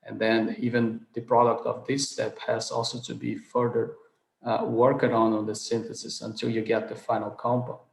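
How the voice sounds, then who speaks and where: A man's voice: mid-range voice, completely flat delivery, moderate pace, vocal fry. Man, thirties, from southern Brazil, Portuguese native, hesitant award lecturer, mid voice, roomy webcam sound. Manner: earnest, many hesitant uhs and restarts. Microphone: video call webcam. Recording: roomy video call audio.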